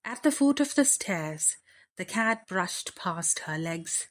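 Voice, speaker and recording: A woman reading a children's bedtime story aloud with deliberate, expressive phrasing. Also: lowish voice.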